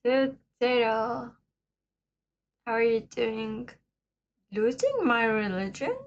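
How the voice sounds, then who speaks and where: Slow-talking raspy woman streamer. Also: fairly high pitch, expressive, dull audio. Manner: laid-back, laughs often. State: hoarse today. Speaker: livestream host. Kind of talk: casual chat with viewers.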